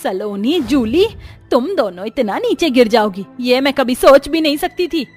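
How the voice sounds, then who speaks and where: A woman voicing a stern, proud older mother-in-law in an animated story, scolding in a sharp-tongued way. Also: high voice, moderate pace, faint music behind.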